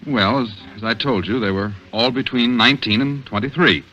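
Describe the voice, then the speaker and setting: An actor playing an earnest, worried police detective in 1940s radio drama style, brooding and terse. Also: male voice, mid-range voice, normal speed, sharp tone, a little hiss or hum, lightly acted. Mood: discouraged. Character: earnest, worried male police detective confiding in his sweetheart. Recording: dull vintage radio recording.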